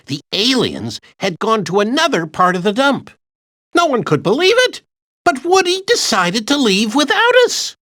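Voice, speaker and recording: A man's voice: high voice, a bit bright, no echo, clean studio sound. A man voicing an anxious, childlike toy dinosaur in a video game, earnestly retelling adventures with dramatic emphasis. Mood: earnest, excitable. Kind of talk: animated video game storytelling narration.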